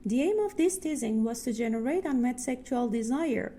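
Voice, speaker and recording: A woman with a high, softly mellow voice reads a prepared text aloud. It sounds like a scripted exam practice passage. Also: expressive, normal speed, a little hiss or hum.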